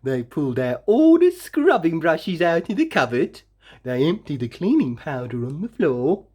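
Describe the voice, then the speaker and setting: Slow, low-voiced woman reading a storybook. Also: a little mellow. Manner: dramatic emphasis with drawn-out words. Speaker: storybook reader. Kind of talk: expressive children's read-aloud narration.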